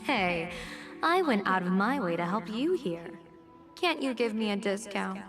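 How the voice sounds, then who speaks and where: A woman's voice: medium pitch, expressive, a little slow, close to an everyday voice. A woman acting a poised, playful young general and fortune-teller in a video game, with a teasing, theatrical delivery. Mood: coy, teasing. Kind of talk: acted game dialogue. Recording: music behind the voice. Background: game music.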